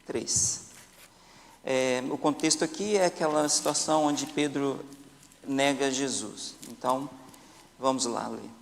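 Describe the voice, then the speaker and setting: A man with a Brazilian accent leading a Sabbath school lesson, reading scripture aloud and putting questions to the class, with some hesitant fillers. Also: mid-range voice. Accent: Brazilian Portuguese. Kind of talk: Bible study discussion. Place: church sanctuary. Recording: church hall with long reverb.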